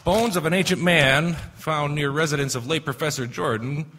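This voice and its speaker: A man's voice: on the high side, normal speed, a bit bright, husky. A man playing a scheming, cold-blooded killer in an old-time radio drama, insistent and melodramatic. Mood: musing, uneasy. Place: stage in a theater hall. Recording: echoey stage hall reverb.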